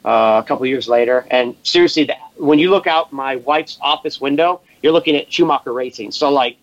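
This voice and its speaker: A man from Massachusetts, a guest telling relaxed, rambling work stories in a casual interview. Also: medium pitch, a little fast, dry recording, muffled recording. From Massachusetts, USA. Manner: laid-back, rambling, many fillers. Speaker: guest, race shop welder.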